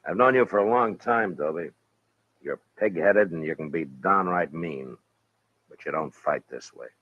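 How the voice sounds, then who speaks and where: An American man acting a stern, weary Old West marshal in a radio Western, speaking in a gruff, terse, authoritative way. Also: low-pitched, moderate pace, dry recording. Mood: firm, frank. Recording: muffled old radio recording.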